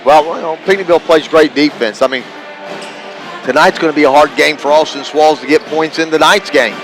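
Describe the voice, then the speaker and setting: A man's voice: a little high, fairly quick, over music, low-quality recording. A man giving live sports commentary as a radio analyst, plain-spoken and folksy in a conversational way. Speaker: radio broadcast partner, analyst. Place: corner perch in a school gymnasium. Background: gym ambience, bouncing basketballs.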